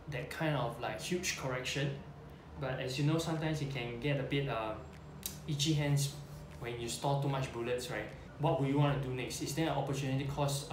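A man's voice: a little high, noisy background. A man in his forties with a Singaporean English accent, talking casually to camera in a small room, hesitant with many "uh" fillers and a questioning "right?". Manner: gestures with hands while talking. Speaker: investing YouTuber. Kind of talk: casual talking-head explainer. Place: small plain room indoors. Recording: echoey room.

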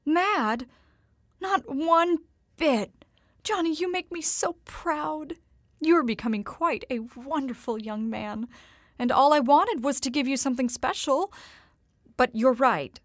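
A woman playing a tender, doting mother in an audio drama, with gentle, proper, slightly theatrical diction. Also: sits high, slow, no room sound, studio quality. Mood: tender, resolute.

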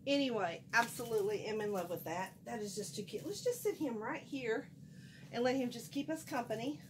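A woman's voice: fairly high pitch, fairly quick, background noise. Chatty woman with a cold. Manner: folksy, animated, chatty, wanders off mid-sentence. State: has a cold, a little nasal. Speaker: haul vlogger. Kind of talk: casual chatty haul vlog. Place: small room at home, indoors.

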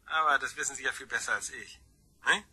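An actor playing a sly, taunting anonymous blackmailer in a crime radio drama, insinuating and mock-sweet. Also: male voice, medium pitch, brisk, bright, forceful tone, husky, full character voice.

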